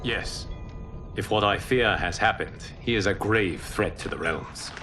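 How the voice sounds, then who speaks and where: Solemn, low-voiced immortal protector. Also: male voice, sharp tone, soft background music. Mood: stern, instructing. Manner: formal, measured, grave. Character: calm, wise immortal lord protecting Earth, solemn. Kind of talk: cinematic video game drama acting.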